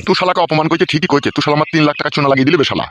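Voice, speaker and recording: A man's voice: on the high side, racing, a bit bright, natural voice. A man voicing an angry father who berates his grown son in a comic cartoon, blustering and swearing. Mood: furious. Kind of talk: comic cartoon dialogue in village family quarrel.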